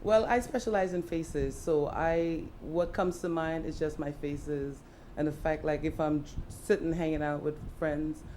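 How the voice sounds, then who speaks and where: A woman's voice: sits low, fairly even intonation, a little slow, hardly any pauses, warm tone, a little hiss or hum, rough recording. A woman from Trinidad, an artist and fashion designer, answering interview questions in a reflective way with many "you know" fillers.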